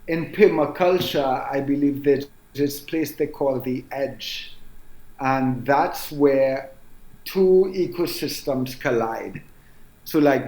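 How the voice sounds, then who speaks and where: A man's voice: medium pitch, a little mellow. Reflective Trinidadian man in an echoey room. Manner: reflective, unhurried, frequent "um" and "you know". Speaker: author guest. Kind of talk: casual interview conversation.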